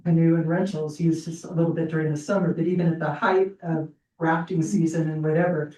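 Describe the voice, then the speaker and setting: A woman with a mellow voice adds an emphatic clarification. The sound is roomy. She is heard from across the room. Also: low voice, fairly even intonation, fairly quick. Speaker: member of the public giving comment. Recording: echoey meeting room, mic far off.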